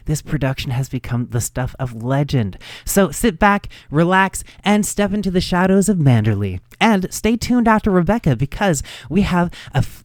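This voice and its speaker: Higher-voiced man hosting a radio show. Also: no echo. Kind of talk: scripted show introduction.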